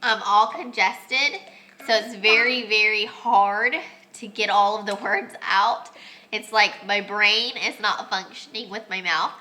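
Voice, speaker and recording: A woman's voice: medium pitch, steady tone, measured pace, bright, forceful tone, poor audio. A young woman, congested and losing her voice, chatting casually with many ums as she vlogs a homeschool lesson. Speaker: homeschooling mom. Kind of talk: casual home vlog lesson. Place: family home with children.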